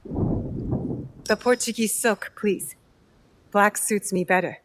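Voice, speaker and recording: An actress playing a young female shinobi and dutiful daughter in a video game, restrained, earnest and soft-spoken. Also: mid-range voice, slow, lightly acted. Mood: polite, reserved. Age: young adult. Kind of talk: dramatic video game cutscene acting.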